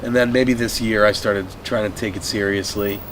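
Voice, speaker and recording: A man's voice: on the low side, fairly even intonation, fairly bright tone, a little hiss or hum. A man hesitantly introducing himself in a casual group chat. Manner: hesitant, slow.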